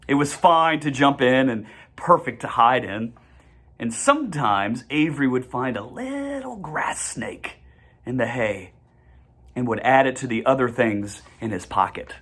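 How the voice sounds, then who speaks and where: A reader narrating a children's storybook aloud in measured, deliberate phrases with the occasional stumble. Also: medium pitch, fairly bright tone.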